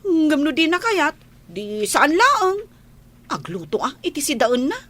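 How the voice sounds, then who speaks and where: High-pitched, cheeky household maid. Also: female voice, big pitch swings, a bit bright, heavily acted. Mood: stubborn. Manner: drawn-out, teasing, exaggerated delivery. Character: cheeky, stubborn household maid in village comedy. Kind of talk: Ilocano radio comedy drama acting.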